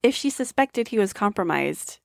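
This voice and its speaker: A woman's voice: medium pitch, very animated, moderate pace, creaky, no room sound, clean studio sound. A woman co-hosting a podcast, recapping a movie in casual chat with wry remarks. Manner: chatty, wry remarks, agreeing often. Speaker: podcast co-host.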